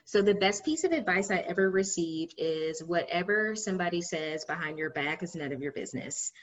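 A woman's voice: animated, fairly quick. A woman guest talking casually in a lilting, sing-song cadence, laughing often. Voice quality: high-pitched by her own account. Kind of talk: casual conversation. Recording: dull lo-fi sound.

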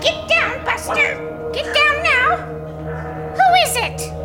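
A refined spinster in an old-time radio thriller. Her voice is extremely high and shrill, slow and flustered. Clear music runs behind the live stage recording. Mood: scolding, shrill. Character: refined, flustered spinster lady, a former schoolteacher. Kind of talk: old-time radio suspense acting before a live audience.

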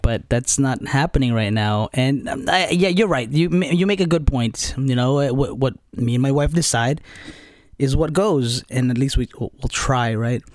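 A man's voice: medium pitch, moderate pace, no echo, clean studio sound. A man chatting casually as a guest, candid and rambling, poking fun at himself. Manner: candid, rambling, self-deprecating. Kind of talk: casual conversation.

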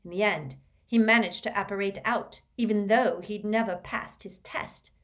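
A woman voicing a tense, defiant teenage boy wizard who speaks forcefully and vehemently. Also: fairly high pitch, huge pitch swings, measured pace, pitched above the performer's own voice, exaggerated voice. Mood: boastful.

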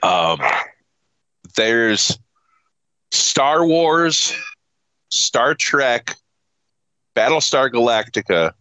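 A man in a casual podcast chat with a bright, forceful voice that swings widely in pitch. He is profane and exasperated, hammering his points emphatically like someone mid-rant. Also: very animated, extremely slow, long pauses, dry recording, rough recording. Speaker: podcast co-host. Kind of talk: casual chat, ranting movie review.

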